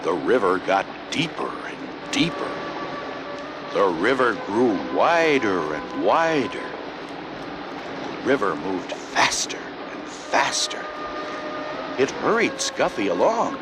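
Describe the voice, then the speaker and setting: Slow, animated male storybook narrator. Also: on the low side. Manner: expressive storytelling with deliberate phrasing. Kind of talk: scripted children's story narration. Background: loud music.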